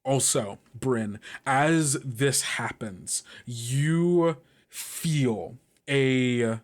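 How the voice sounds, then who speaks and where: A man narrating a tabletop game as game master, improvising vivid descriptions in halting, pieced-together phrases. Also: medium pitch.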